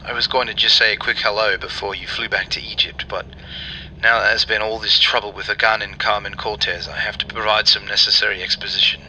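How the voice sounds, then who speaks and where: A man's voice: on the low side, level tone, fairly quick, sharp tone, a little hiss or hum, poor audio. A man playing a matter-of-fact wartime spymaster in a radio drama. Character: matter-of-fact male spymaster explaining schemes.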